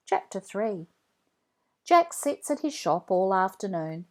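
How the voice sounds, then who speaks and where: A woman storyteller reads aloud for children. Her delivery is slow and deliberate, with a very animated melody that shapes each sentence of the read-along. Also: medium pitch.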